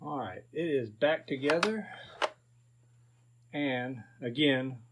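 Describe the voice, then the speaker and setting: A man's voice: mid-range voice, slow pace, background noise. A man in his fifties casually narrating a repair to viewers, thinking aloud in a folksy way. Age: 50s. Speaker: host of a repair video channel.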